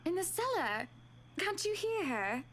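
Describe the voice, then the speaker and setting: High-voiced genteel lady of the house. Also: big pitch swings, a little slow, a bit bright, full character voice. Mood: distressed. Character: polite, anxious lady of a mansion, sweet-mannered. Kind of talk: visual novel game voice acting. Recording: game music behind the voice.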